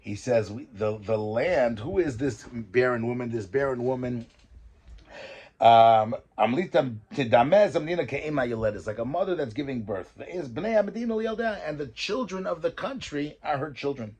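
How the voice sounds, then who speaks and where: A man, a rabbi, giving a weekly Torah talk, reading verses and explaining them in lively English. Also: medium pitch, moderate pace, breathy edge. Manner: mixes Hebrew verses with English explanation, animated.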